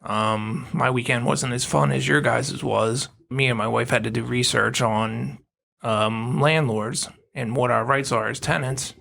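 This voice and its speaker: Gravelly laid-back man. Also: medium pitch, a bit bright. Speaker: podcast co-host. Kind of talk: casual chat.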